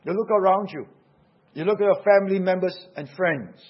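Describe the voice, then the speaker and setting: Husky high-voiced Singaporean preacher. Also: male voice, fairly quick, dull audio. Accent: Singaporean English. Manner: earnest, urgent preaching with rhetorical questions. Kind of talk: sermon.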